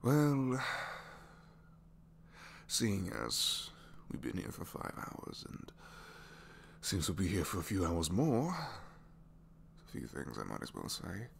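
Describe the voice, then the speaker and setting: A man's voice: low-pitched, huge pitch swings, takes it slowly, gravelly, pauses often, heavily acted. A man voicing a reluctant captured supervillain, dry, sheepish and complaining, in a hesitant, rambling way, for an intimate ASMR roleplay. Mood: resigned, confiding. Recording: faint background noise.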